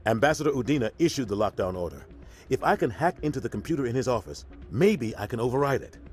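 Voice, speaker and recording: An actor playing a seasoned, resolute military captain in a video game, speaking firmly. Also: male voice, medium pitch, expressive, fast, a little mellow, close to an everyday voice. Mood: determined. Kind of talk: video game cutscene acting.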